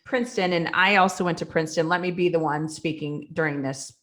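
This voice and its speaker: A woman's voice: fairly low pitch, brisk, a bit bright. A woman co-hosting a podcast who explains points with examples and moves the talk from topic to topic. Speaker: podcast co-host. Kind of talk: casual conversation.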